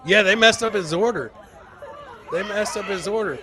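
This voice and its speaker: Boisterous high-voiced American man hosting. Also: normal speed, a faint crowd, slight background noise. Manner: boisterous, shouting catchphrases, swearing freely. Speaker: comedy podcast host. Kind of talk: loud live commentary on funny clips.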